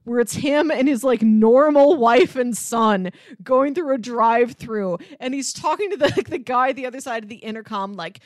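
An American woman co-hosting a podcast, chatting casually about films in a chatty, animated way. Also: a little high, moderate pace, few pauses, no echo, studio quality. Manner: chatty, animated, often laughing while talking. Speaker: podcast co-host.

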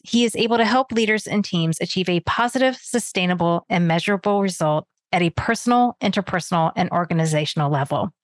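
A woman's voice: medium pitch, no echo. A woman hosting an interview podcast, moving between prepared introductions and conversational questions.

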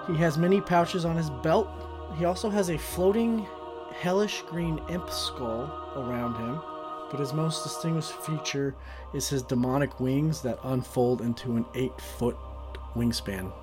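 A man's voice: fairly high pitch, measured pace. A young man answering interview questions casually as a player describing his fantasy game character. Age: young adult. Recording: music behind the voice. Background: background music.

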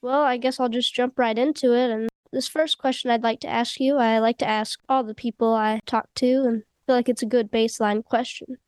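Nasal host with a head cold. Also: female voice, a little high, steady tone, a little fast, few pauses, a little mellow, clear, resonant tone, no room sound. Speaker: podcast host. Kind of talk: interview hosting.

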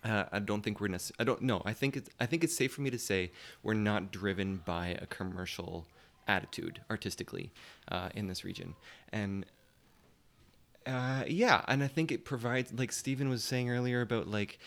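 A man with a fairly low voice talks in a casual panel discussion. He is careful and diplomatic, often hesitating and rewording as he searches for the right phrase. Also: moderate pace, a bit bright. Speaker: theatre guest.

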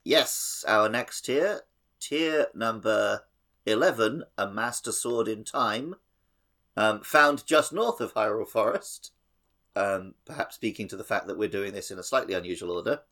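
A man's voice: lowish voice, a little slow, pushed and bright. A man hosting a podcast, talking casually about video games. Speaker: podcast host. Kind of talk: casual gaming podcast chat.

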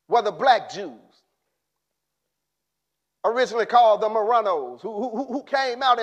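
A man preaching a sermon in an impassioned way, rising to shouts and then dropping low.

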